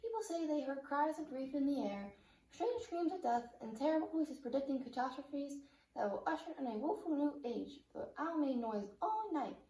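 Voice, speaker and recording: A woman performs a scripted drama for a puppet show. Her voice is high and carries a clear room echo. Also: very animated, moderate pace, mellow. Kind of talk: dramatic reading of a modernised play. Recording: roomy, echoing room sound.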